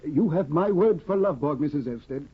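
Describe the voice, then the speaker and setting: An actor playing a fussy, absent-minded scholarly husband who dithers eagerly, in a 1950s radio drama. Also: male voice, fairly high pitch, animated, normal speed, breathy edge. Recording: tinny, phone-like 1950 radio broadcast.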